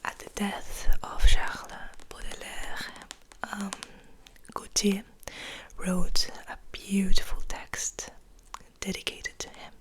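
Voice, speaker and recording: A young woman whispering softly and gently in an ASMR style, reading and musing in a hesitant way. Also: medium pitch, level tone, slow, low-quality recording. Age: young adult. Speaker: ASMR host, literature student.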